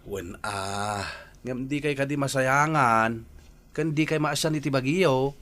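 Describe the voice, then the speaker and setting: An actor with a Filipino accent playing an earnest, worried nephew in a radio drama, pleading respectfully. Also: male voice, mid-range voice, measured pace, a bit bright, lightly acted. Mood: reproachful, concerned. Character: earnest, worried married nephew, gently reproachful.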